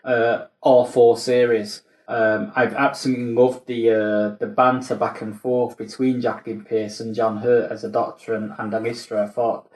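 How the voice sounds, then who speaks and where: A man chatting casually about film and TV as a podcast host, with a rambling, halting delivery. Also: mid-range voice, little pitch movement, darkish tone. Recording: roomy, echoey voice recording.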